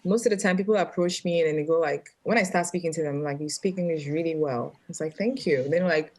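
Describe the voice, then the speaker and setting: A woman from Ghana speaking as a guest in a casual panel chat, earnest and measured. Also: low-pitched, a little mellow.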